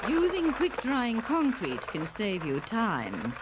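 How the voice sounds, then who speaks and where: A woman playing a sweetly dutiful but quietly murderous housewife in a parody how-to radio comedy, polite and matter-of-fact about calamity. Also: on the high side, wildly swooping pitch, slow, noisy background, full character voice. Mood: coolly scheming.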